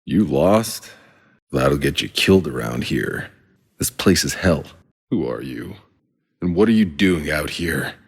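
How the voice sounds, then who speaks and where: Very deep, gravelly game soldier. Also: male voice, animated, unhurried, full character voice. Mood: dry, weary. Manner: terse, dry, hard-bitten, swearing. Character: gruff, weary, sarcastic male Outrider soldier on a hostile planet. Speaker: video game protagonist. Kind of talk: dramatic video game voice acting.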